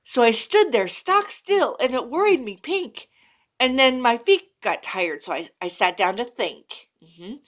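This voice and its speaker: A woman's voice: fairly high pitch, big pitch swings, a little slow, a bit bright. A woman voicing a nervous schoolboy who stammers through a long excuse. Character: stuttering schoolboy making up excuses.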